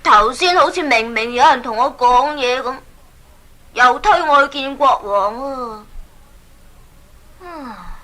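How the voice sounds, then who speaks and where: A bewildered dreamer in a children's radio drama, wondering aloud. The voice is high and bright, and the pace is slow. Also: female voice, expressive, full character voice. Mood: puzzled, wondering. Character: puzzled dreamer just woken, talking to oneself.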